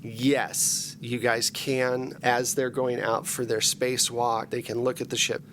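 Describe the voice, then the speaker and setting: A man's voice: medium pitch, flat delivery, unhurried, fairly bright tone. Male tabletop game master, mid-range voice, conversational narration, some noise behind him. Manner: casual, conversational.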